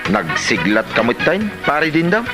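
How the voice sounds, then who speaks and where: A man's voice: mid-range voice, sing-song, normal speed, over music, lightly acted. A man playing a cheeky, hearty friend in an Ilocano comedy drama, teasing, with an Ilocano Filipino accent. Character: cheeky drinking buddy teasing his glum friend. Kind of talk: Ilocano comedy radio-style drama acting.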